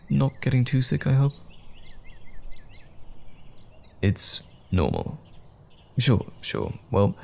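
Man acting as loving boyfriend, low-pitched and very animated. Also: vocal fry, exaggerated voice. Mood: tender, reassuring. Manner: tender, reassuring, playful, uses pet names. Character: loving, attentive boyfriend comforting his troubled partner. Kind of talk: boyfriend roleplay audio drama.

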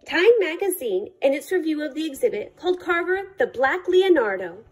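A woman reading a children's picture book aloud as a librarian, in careful, deliberate short phrases. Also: sits high, big pitch swings, faint music behind.

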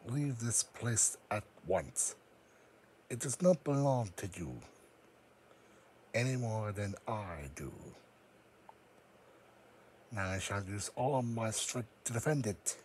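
A man playing a solemn, powerful psychic creature in a fan audio drama, speaking in a grave, deliberate, formal manner. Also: fairly low pitch, expressive, darkish tone, a little hiss or hum. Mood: stern.

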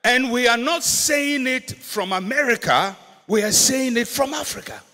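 An older man with a Ghanaian accent delivers an emphatic sermon with a bright, ringing tone set high for a man. He slows down to land key words and builds up to shouts. The sound carries a long reverb from a big auditorium. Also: lively intonation. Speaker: pastor. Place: large church auditorium stage. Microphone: handheld microphone.

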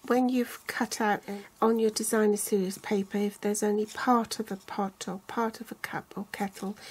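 An older woman calmly walking through a card-making tutorial step by step, reading out measurements in inches and centimeters. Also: mid-range voice, breathy edge. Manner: calm, measured, reads out sizes in inches and centimeters.

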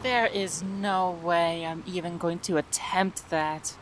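A skeptical female assassin, voiced by an actress, talks slowly in a lowish, deadpan voice. It is audio drama with clear background noise. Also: low-quality recording. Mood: dry, resigned.

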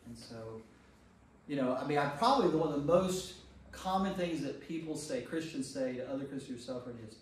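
A man's voice: fairly high pitch, lively intonation, a little fast. A man speaking as a pastor leading an informal Bible study, conversational, repeating phrases for emphasis and checking in with listeners. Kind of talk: informal group teaching with questions to listeners. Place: echoey room with a small group. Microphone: distant room microphone. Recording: distant mic in echoey room, noise.

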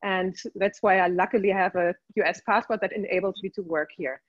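A woman's voice: mid-range voice, fairly even intonation, brisk, no echo. German-accented woman on a dull call. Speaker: director of interior design. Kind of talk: video call interview. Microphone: video call. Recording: dull lo-fi video call audio.

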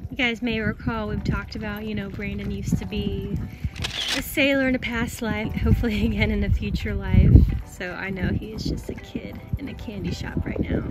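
A woman's voice: medium pitch, steady tone, normal speed, heavy background noise. A young woman vlogging casually to a phone at arm's length, bubbly and laughing nervously as she talks. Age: young adult. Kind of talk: casual vlog chat to camera. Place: outdoors on a lake, on a small sailboat.

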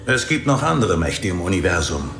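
A man's voice: low-pitched, big pitch swings, fairly quick, creaky, a bit bright, reverberant, slight background noise, heavily acted. A man playing a loyal, steadfast warrior of the light, speaking with assurance in an audio drama. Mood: calm, trusting.

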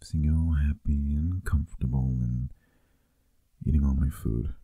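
A man playing a playful, affectionate boyfriend in an intimate ASMR roleplay, teasing softly and conversationally, close to the microphone. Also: rumbling low, fairly even intonation, unhurried, husky, dry recording, phone-quality sound, exaggerated voice.

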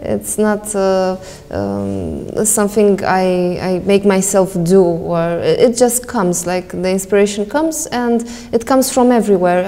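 Dark-voiced young Romanian woman in reverberant hall. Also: medium pitch. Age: 20s. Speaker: painter. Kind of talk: casual documentary interview. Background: music.

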